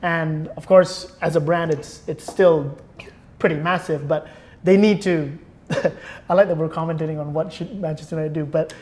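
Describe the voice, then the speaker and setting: A man's voice: a little high, steady tone, moderate pace, a little mellow. A man speaking as a podcast guest in a casual interview, reflective and thinking aloud.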